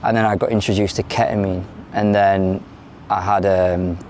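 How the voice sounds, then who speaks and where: A man in his thirties with an English accent from London, born in Ireland, speaking frankly in a candid interview, often pausing and restarting his sentences. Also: low-pitched, level tone, a little mellow, slight background noise. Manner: frank and plain-spoken, with frequent hesitations and restarts. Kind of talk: candid personal testimony.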